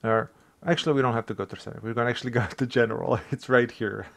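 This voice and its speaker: A man's voice: medium pitch, fast, vocal fry. Bosnian-accented man rambling through a tutorial. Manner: rambling, self-deprecating, chuckles. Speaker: tech tutorial creator. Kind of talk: casual screen-recorded tutorial.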